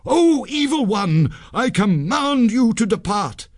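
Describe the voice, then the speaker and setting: A quick-witted young storybook hero, played by a man, earnest and urgent. The voice is pitched very high for a male and leaps about with dramatic animation. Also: very animated, breathy edge, dry recording, clean studio sound, full character voice. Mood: bold indignant. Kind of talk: storybook character voice.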